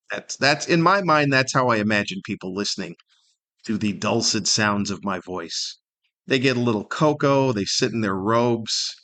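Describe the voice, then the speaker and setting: A middle-aged man talks casually as the host of a solo podcast. His pitch sits in an ordinary male range. He rambles through his stories with dry, self-deprecating humor. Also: fairly bright tone.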